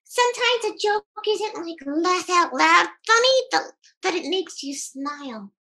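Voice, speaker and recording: A woman's voice: very high voice, sing-song, measured pace, sharp tone. A woman co-hosting a storytime, chiming in with playful, childlike questions and comments. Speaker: storytime co-host commenting on the book.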